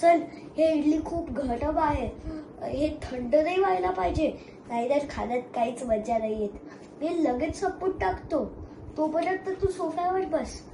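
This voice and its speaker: A child with a high voice performs an amateur stage monologue to an audience. The sound is roomy and echoey, with faint noise behind it. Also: measured pace, dark tone. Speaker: competitor in a one-act play contest.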